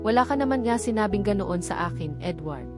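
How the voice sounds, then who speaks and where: A woman narrator plays a stubborn young Filipino woman from a romance storybook. She protests and scolds defensively at a middle pitch, with music clearly behind her. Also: expressive, a little fast, lightly acted. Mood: defensive, scolding. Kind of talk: storybook dialogue reading.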